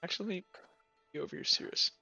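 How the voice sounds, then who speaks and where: Bright-voiced man running a tabletop game. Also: no echo, lo-fi sound, faint music behind. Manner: halting, searching for words. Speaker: game master. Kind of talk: improvised narration of a tabletop roleplaying game.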